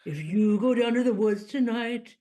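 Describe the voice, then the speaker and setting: A woman's voice: on the high side, normal speed, warm tone, natural voice. A woman in an improv scene playing a female teddy bear who longs to be bought, talking in a dramatic, fond way. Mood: coaxing. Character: wistful unsold female teddy bear, longing.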